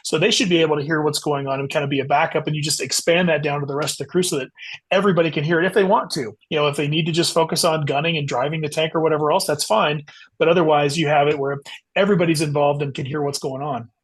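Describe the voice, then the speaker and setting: Fast-talking husky man, slightly high voice. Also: fairly even intonation. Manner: explanatory. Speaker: guest author. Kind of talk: casual interview discussion.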